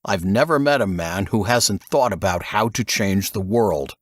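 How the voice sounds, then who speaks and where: Male reader as aging spy mentor for an audiobook: low, stern, deliberate, commanding, always probing. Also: animated, dry recording. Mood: stern, challenging. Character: stern, probing old male intelligence veteran. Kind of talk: audiobook character dialogue.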